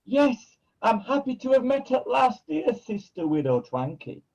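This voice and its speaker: An actor playing a scheming pantomime villain who poses as a kindly uncle, speaking in theatrical, wheedling rhyme. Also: male voice, very high voice, sing-song, unhurried, vocal fry, a little mellow, muffled recording, full character voice. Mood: oily, effusive. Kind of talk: rhyming pantomime acting.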